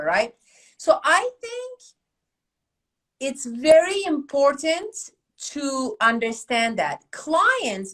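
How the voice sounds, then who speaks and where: A middle-aged woman from Turkey with a Turkish accent, hosting a casual podcast chat in an animated, emphatic way. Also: on the high side. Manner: animated, emphatic, asks rhetorical questions. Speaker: academy host.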